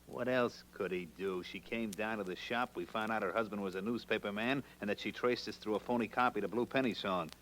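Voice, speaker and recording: A man playing a menacing racketeer from a 1940s radio crime play. His voice is low, forceful and sharp, with curt, short-tempered phrasing. There is faint noise on the old recording. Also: a little fast, few pauses, close to an everyday voice. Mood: irritated, cold. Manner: menacing, curt, hard-boiled. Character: ruthless, short-tempered male gangster running a counterfeit racket.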